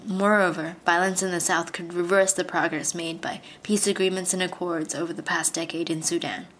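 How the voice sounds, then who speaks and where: A woman reporter reading a scripted radio news report in a measured, formal way. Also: lowish voice, steady tone, fairly bright tone.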